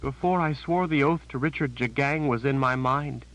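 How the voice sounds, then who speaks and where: A man's voice: medium pitch, normal speed, a bit bright, voice pushed up, natural voice, poor audio. A man voicing a frightened, pained captive woman who speaks in a trembling whisper.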